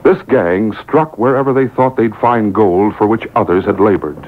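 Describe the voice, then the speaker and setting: A man narrating an old radio drama from a script, dramatic and deliberate. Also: lowish voice. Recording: noisy, tinny radio broadcast taped off air.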